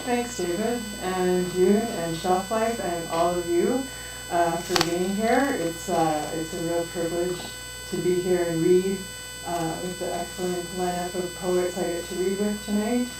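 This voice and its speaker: A woman's voice: on the low side, steady tone, measured pace. A middle-aged woman from Ontario with a Canadian accent, a poet speaking informally to an audience before reading. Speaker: poet introducing her reading. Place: bookstore poetry event. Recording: very echoey distant room mic, music, hum.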